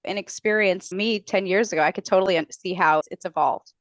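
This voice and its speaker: A woman's voice: mid-range voice, brisk, dry recording. Female customer service trainer, conversational and instructive, mid-range voice, very dry recording.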